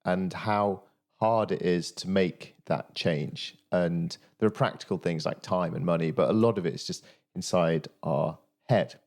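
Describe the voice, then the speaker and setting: A man hosting a podcast interview, asking questions in a measured, thoughtful way. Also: deep voice, a little mellow.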